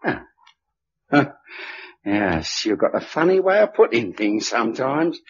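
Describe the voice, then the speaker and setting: A man's voice: mid-range voice, very animated, unhurried. An actor playing a blind, plain-spoken working man in a radio drama, chatty and colloquial. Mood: chatty, curious. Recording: muffled, noisy old radio recording.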